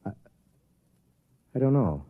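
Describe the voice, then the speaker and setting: A man's voice: mid-range voice, big pitch swings, takes it slowly, slightly rough, exaggerated voice. An American man acting in a 1950s radio drama as an anxious, hesitant suburban father who also narrates. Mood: uneasy. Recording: dull, muffled vintage radio broadcast.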